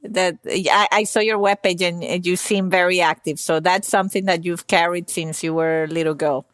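A woman's voice: on the low side, fairly even intonation, moderate pace, no room sound. A woman in her thirties or forties hosting a podcast in a casual, conversational way. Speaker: podcast host.

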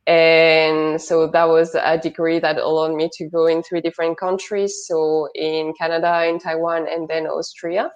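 A woman's voice: low voice, little pitch movement, unhurried, few pauses, lo-fi sound. A young woman with a French accent, a guest in an interview, talking with many fillers and restarts. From northern France. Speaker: guest, product manager. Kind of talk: interview conversation.

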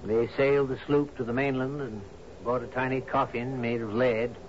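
A loyal, plain-spoken servant of a sea captain acted by a man answers in short, guarded, folksy phrases. His voice sits at an ordinary male pitch. It comes from a vintage radio drama with a dull sound and faint noise. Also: little pitch movement, moderate pace, lightly acted. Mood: sombre. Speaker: actor in a radio mystery drama. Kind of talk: 1970s radio drama acting. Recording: muffled, hissy old radio broadcast.

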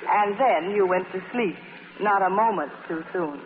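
A woman's voice: mid-range voice, moderate pace, close to an everyday voice. Actress as a poised wry woman, tinny radio. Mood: wry, teasing. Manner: dry, teasing. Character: poised, self-assured, wryly amused single woman. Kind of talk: 1940s radio comedy-drama acting. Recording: tinny, noisy 1940s radio broadcast.

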